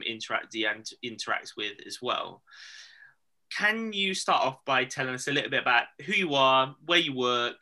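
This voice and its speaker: Male host, 30s, bright forward tone, chatty and loose, video-call audio. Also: medium pitch, rough recording. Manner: chatty, laughs often, rambling restarts. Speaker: podcast host. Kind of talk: casual video-call chat. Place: home room, indoors.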